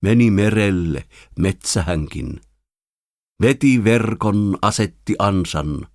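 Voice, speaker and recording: A man's voice: lowish voice, lively intonation, vocal fry, no room sound, studio quality. A man performing a dramatic recitation of narrative poetry.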